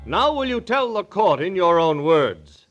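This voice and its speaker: Theatrical, high-pitched courtroom prosecutor. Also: male voice, sing-song, a little slow, fairly bright tone, highs cut off, faint music behind, exaggerated voice. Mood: formal, commanding. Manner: theatrical, declamatory. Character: dramatic, ringmaster-voiced male courtroom prosecutor. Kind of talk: 1940s radio drama acting.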